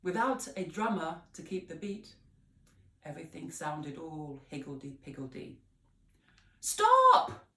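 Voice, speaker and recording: A woman reading a children's story aloud with expressive, theatrical emphasis on key words. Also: low-pitched. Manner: theatrical, deliberate word emphasis. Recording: roomy echo, distant microphone.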